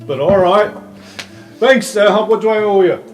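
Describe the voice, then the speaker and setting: A man's voice: sits high, a little slow, voice pushed up. Man putting on a hearty dwarf recruiter, roomy sound. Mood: approving, blustery. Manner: blustery, paternal, calls people lad. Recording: roomy sound with music behind.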